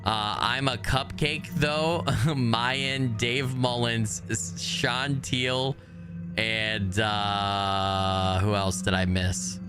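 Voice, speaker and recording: A man's voice: on the low side, fairly even intonation, very slow. A man chatting casually and informally as he livestreams a video game. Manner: informal, conversational. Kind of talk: casual live stream chat. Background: game music.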